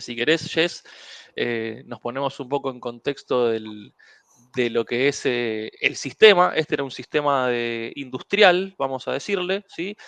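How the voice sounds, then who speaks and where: A man hosting a security webinar in a relaxed, rambling way, with many fillers and English tech terms. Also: mid-range voice, clear, resonant tone, no echo. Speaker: webinar host. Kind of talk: casual technical presentation.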